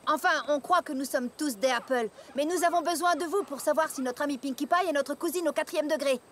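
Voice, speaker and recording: A woman's voice: high-pitched, brisk, lightly acted. A woman voicing a sincere, down-to-earth young female farm pony in a French cartoon dub, earnest and plain-spoken. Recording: loud music behind.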